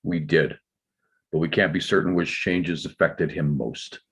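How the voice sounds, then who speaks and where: A man playing a reserved, defensive older father in a drama table read, explaining himself in a measured way. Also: a bass voice, fairly even intonation, fairly bright tone, slightly rough, heavily acted. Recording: dull, slightly muffled microphone sound.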